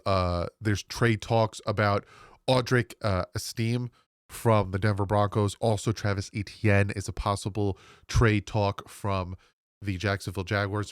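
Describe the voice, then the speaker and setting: A man's voice: on the low side, smooth, no room sound, studio quality. Congested man with a stuffy nasal voice. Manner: rambling, chatty, quick asides to viewers. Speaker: sports channel host. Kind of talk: casual solo sports commentary. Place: home room. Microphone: desk microphone.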